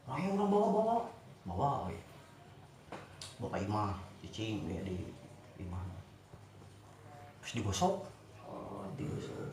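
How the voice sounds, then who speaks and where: A man's voice: lowish voice, huge pitch swings, a little slow, long pauses, noisy background. A man with a Sundanese accent telling comic stories in casual chat, full of acted exclamations and repetitions, recorded in an echoey room. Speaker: joke teller. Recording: echoey room with music behind.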